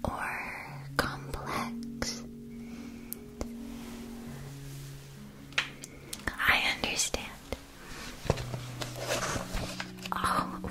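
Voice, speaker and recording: Casting: woman as gentle witch who sells magical familiars, ASMR roleplay, fully whispered delivery, very slow and attentive. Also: close to an everyday voice. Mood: gentle, earnest. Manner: soft, hesitant, attentive, unhurried. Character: gentle, earnest female witch selling magical animal familiars.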